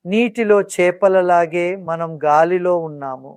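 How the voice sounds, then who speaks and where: A meditation instructor guiding listeners in a calm, soothing and measured manner, pausing between instructions. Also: medium pitch, clean tone, dry recording. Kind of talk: guided meditation narration.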